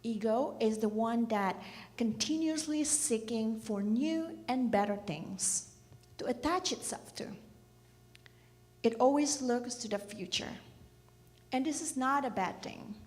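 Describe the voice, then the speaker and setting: A middle-aged woman from Indonesia gives a reflective church message, speaking gently and asking the listeners questions. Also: fairly high pitch, expressive, slow pace. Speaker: children's program director giving a church message. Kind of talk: reflective sermon talk. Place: church hall. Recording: reverberant church hall.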